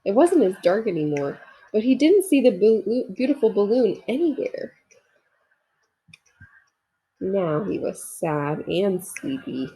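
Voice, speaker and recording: A woman's voice: medium pitch, big pitch swings, slow, pauses often, warm tone, slightly rough, rough recording. A woman reading a children's story aloud expressively, talking to young listeners as she goes. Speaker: storybook reader. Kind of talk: children's read-aloud narration with asides.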